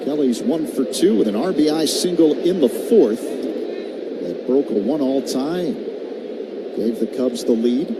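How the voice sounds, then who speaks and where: A man's voice: mid-range voice, expressive, unhurried. A man doing live radio play-by-play of a baseball game in clipped, descriptive phrases. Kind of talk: live radio sports commentary. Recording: loud ambient ballpark noise behind.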